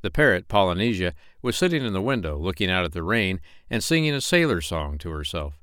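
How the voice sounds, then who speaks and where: A man's voice: deep voice, very animated, a little fast, fairly bright tone, clean tone, no echo, studio quality. A man reading a children's story aloud as a scripted audiobook narrator.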